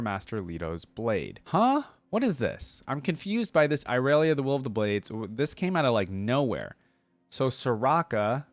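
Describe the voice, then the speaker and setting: Male host, mid-pitched, casual reaction commentary, thinks aloud as he reads, very dry studio-like sound. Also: no echo.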